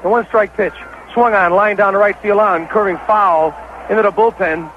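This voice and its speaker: An American man calling baseball play-by-play on 1970s radio as an excitable, partisan announcer. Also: sits high, moderate pace. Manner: excitable, partisan home-team caller. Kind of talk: 1970s live radio sports commentary. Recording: dull, noisy vintage radio broadcast.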